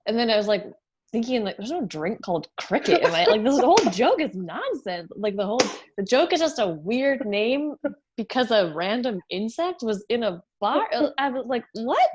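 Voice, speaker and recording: Female podcast co-host, slightly high voice, chatty and self-deprecating. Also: very animated, normal speed, slightly rough, highs cut off. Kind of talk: casual podcast chat.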